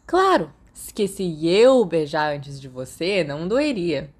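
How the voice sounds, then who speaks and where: A woman's voice: fairly low pitch, very animated, takes it slowly, breathy edge, full character voice. A woman reading a storybook voices a confident, boastful teenage boy who banters competitively with his friends. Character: confident, boastful, athletic teenage wizard schoolboy.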